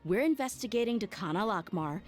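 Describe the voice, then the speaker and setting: A woman's voice: medium pitch, animated, a little fast, natural voice. A woman playing a tough, no-nonsense mercenary interrogator in a video game, blunt and commanding. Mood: firm.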